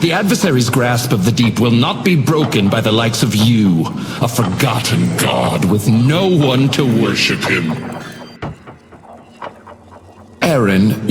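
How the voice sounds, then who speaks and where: Sinister, swooping, echoing hotel doorman. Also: medium pitch. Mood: cold, dismissive. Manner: theatrical, taunting, measured, silky menace. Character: sinister, genteel hotel doorman tormenting guests. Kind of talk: video game character voice lines, monologue. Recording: echoing voice over music. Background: music.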